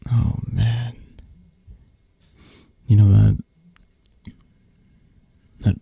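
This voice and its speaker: A possessive yet tender mafia boss, acted by a man for an ASMR romance roleplay, murmurs intimately and teasingly. The delivery is slow and halting, with a husky edge and a close, dry sound. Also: lowish voice, mellow, no echo, heavily acted. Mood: affectionate, flirty.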